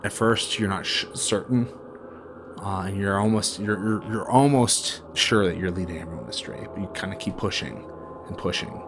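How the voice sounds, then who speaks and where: A man acting as game master, improvising horror tabletop narration in a halting, casual way full of filler words. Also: low voice, animated, vocal fry, background noise. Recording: music behind the voice. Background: background music.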